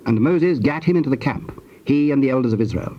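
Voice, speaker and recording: A man narrating dramatized scripture in archaic English in a measured, formal manner. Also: medium pitch, expressive. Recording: dull, very noisy old tape.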